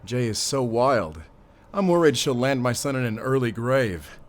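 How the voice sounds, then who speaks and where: Casting: actor as genial older-generation dad, audio drama, polite and jovial, unhurried with lively swings. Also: male voice, mid-range voice, close to an everyday voice. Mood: genial, concerned. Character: courteous, genial father of a grown son.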